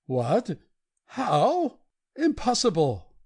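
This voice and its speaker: A woman's voice: medium pitch, huge pitch swings, drawn-out words, darkish tone, husky, exaggerated voice. A woman voicing an anxious, practical husband in a dramatic audiobook reading. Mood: shocked disbelief.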